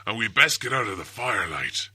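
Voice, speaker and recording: A man voicing big, dim, quarrelsome trolls in a storybook, with a rough gravelly Cockney delivery. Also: on the low side, sing-song, no room sound, pitched below the performer's own voice, exaggerated voice. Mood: gruff. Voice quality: gravelly, forceful. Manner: slow-witted, rough, blustering speech.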